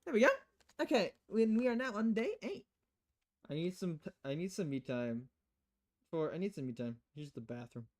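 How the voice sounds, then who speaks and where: A woman game streamer reads visual novel dialogue aloud on a livestream. Her pitch is very animated, moving up and down as she shifts between voices. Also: moderate pace. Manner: acts out dialogue with changing voices.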